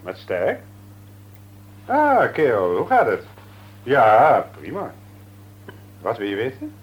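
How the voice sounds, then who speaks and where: An actor playing an enthusiastic, self-assured business owner in a Dutch radio drama. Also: male voice, mid-range voice, huge pitch swings, takes it slowly, dull audio, slight background noise. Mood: brisk, courteous.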